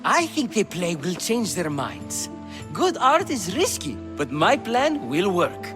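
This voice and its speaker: An actor playing a self-important, fretful Greek playwright in a video game, with a theatrical, fussy delivery. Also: male voice, sits high, huge pitch swings, takes it slowly, in a large hall, music in the background, full character voice. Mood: amused, confident.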